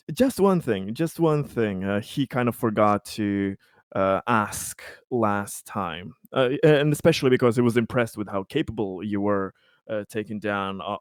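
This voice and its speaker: A man playing a tabletop roleplaying game, talking casually in halting, tentative phrases as he describes his character's actions. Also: lowish voice, smooth, dry recording, studio quality.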